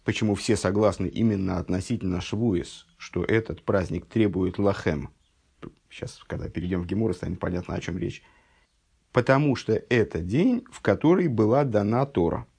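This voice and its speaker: A man teaching a text study lesson, reading source passages slowly and then explaining them, just recovered from an illness. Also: fairly low pitch, gravelly, darkish tone, breathy edge. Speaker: religious teacher.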